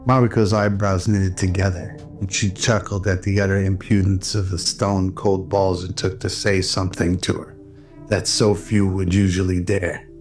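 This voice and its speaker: A man's voice: low voice, little pitch movement, normal speed, soft background music. A man narrating a scripted radio drama as storyteller.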